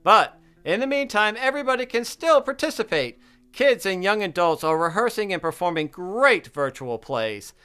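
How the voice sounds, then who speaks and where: A man's voice: high voice, very animated, normal speed, sharp tone, clean tone. An American man reading a scripted promotional announcement as an announcer. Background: guitar music.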